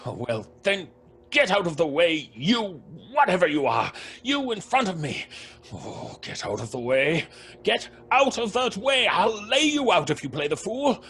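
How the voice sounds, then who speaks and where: Scoffing skeptic, high, swooping voice. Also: male voice, sing-song, measured pace, fairly bright tone, full character voice. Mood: irritated, blustering. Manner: dry, scornful, blustering. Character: scoffing, impatient gentleman skeptic at a séance. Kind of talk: old-fashioned radio horror drama.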